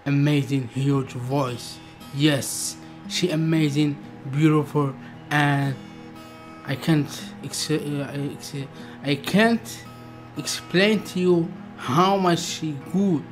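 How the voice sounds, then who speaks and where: Slow-talking Algerian man, halting English. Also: on the high side, fairly even intonation, soft background music. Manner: halting, simple broken English with repeated words. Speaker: reaction video host. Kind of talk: casual reaction vlog.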